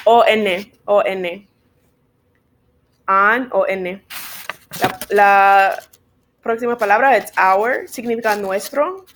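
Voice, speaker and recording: A woman teaching English sight words in Spanish, spelling each word slowly letter by letter. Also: mid-range voice, fairly bright tone, a little hiss or hum. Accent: Spanish-speaking learner of English. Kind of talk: bilingual spelling lesson.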